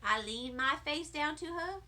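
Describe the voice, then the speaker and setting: A woman narrates a children's book with great expression. Her voice is high and very lively, and she reads at a deliberate, slow pace. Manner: animated, deliberate. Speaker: storybook reader.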